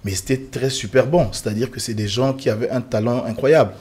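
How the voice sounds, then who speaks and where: A man's voice: medium pitch, a little fast, a little mellow, husky. A man from the Republic of the Congo, a guest on a televised panel, speaking earnestly and emphatically with frequent fillers and restarts.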